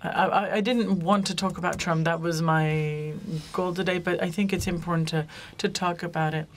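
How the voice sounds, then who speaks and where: Woman moderating a conference panel, lowish voice, keeps correcting herself. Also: fairly even intonation, normal speed. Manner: loose, self-correcting, with frequent restarts. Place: conference room.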